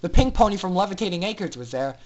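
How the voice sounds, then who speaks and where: A man's voice: high-pitched, expressive, quick pace, darkish tone. A man narrating fan fiction in a theatrical, intense style, as a dramatic story reader.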